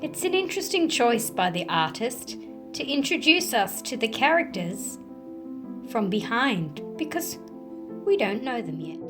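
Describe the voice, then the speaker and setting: An Australian woman hosting a children's read-aloud, telling stories and explaining them in simple, measured phrases with questions to her listeners. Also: a little high, very animated, over music.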